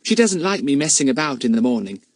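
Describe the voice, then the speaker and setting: A man's voice: mid-range voice, big pitch swings, quick pace, no room sound, pitched above the performer's own voice. A man voicing an earnest, indignant young schoolgirl.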